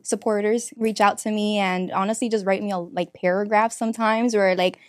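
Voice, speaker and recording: A woman's voice: medium pitch, steady tone, a little fast, no room sound. A young woman from Miami, a singer-songwriter guest, answering interview questions casually in a studio with many fillers and restarts. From Miami, United States. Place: podcast studio.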